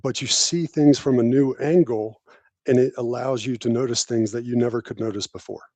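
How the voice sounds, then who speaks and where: A man's voice: mid-range voice, steady tone, normal speed, a little mellow, dry recording. A man giving conversational interview answers, explaining things plainly in short declarative phrases. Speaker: podcast guest, technology professional and army veteran.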